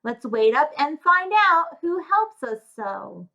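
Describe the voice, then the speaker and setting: A woman's voice: high voice, very animated, takes it slowly, gravelly, bright, forceful tone, heavily acted, rough recording. A woman voicing a cobbler's plain-spoken wife in a rhyming storybook tale, in sing-song lines. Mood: eager.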